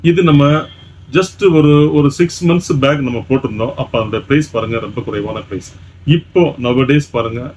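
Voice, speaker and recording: A man with a Tamil Nadu accent pitches a product at a rapid clip. He is emphatic and repeats himself, mixing in English words like a shop presenter. The recording is a little dull, with faint noise behind him. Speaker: shop presenter selling electronics. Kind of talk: product demonstration sales pitch.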